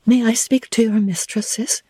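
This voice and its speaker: A woman's voice: a little high, steady tone, a little slow, warm tone, husky, dry recording, voice pushed up. A woman voicing a desperate, exhausted young woman wanderer, pleading and faltering as she speaks.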